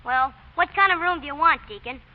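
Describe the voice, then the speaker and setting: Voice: high-pitched, a little fast, natural voice. A performer playing an outspoken, plucky small-town boy in an old radio drama, with a blunt, folksy manner. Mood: blunt, cheeky. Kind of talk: 1940s radio acting. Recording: muffled old radio recording.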